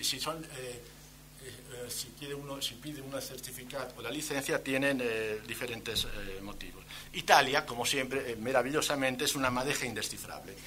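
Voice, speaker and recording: Man, accent from Spain, conference speaker, fairly high forceful voice, ironic delivery, faint background noise. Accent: Spanish from Spain. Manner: wry, ironic asides. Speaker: guest speaker, antiquarian bookseller. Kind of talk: conference lecture. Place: lecture event at a university.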